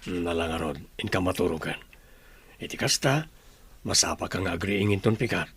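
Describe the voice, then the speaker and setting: A man playing a humble, devoted father in a radio drama, with a husky voice and a gentle, expressive manner. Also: deep voice, normal speed, full character voice. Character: humble, devoted father proud of his children. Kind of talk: Ilocano radio drama acting.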